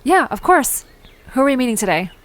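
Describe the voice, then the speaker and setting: A woman's voice: high-pitched, very animated, a little fast. A woman playing a curious, easily puzzled young visitor in an audio drama, talking in an informal, wry, inquisitive way. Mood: eager. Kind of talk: conversational audio drama dialogue.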